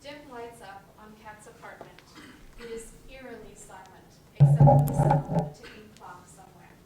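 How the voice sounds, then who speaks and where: A woman reading stage directions as scripted narration for a play, in a measured, descriptive way. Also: fairly high pitch, loud music behind the voice. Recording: very echoey, distant, noisy room.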